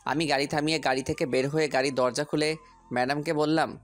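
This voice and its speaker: A man's voice: fairly high pitch, fairly even intonation, quick pace, close to an everyday voice. A man playing a dutiful young servant and driver in an audio story, polite and deferential, also narrating in first person. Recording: music bed under voice.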